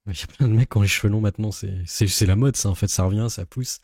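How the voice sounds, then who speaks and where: A man's voice: on the low side, fast, a little mellow, dry recording, studio quality. A man giving casual reaction commentary as a YouTuber, in a sarcastic, sceptical and rambling way.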